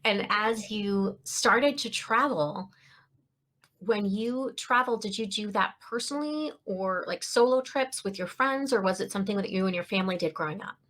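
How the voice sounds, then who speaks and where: Woman hosting an interview show, gushing and upbeat, mid-pitched voice. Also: moderate pace, a bit bright. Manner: enthusiastic, effusive welcomes and praise. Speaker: podcast host.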